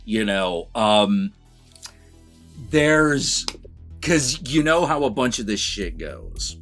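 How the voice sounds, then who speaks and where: A man co-hosting a casual review chat, rambling and halting, swearing freely as he goes. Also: lowish voice, very animated, a bit bright, faint music behind. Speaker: co-host of a movie review vlog.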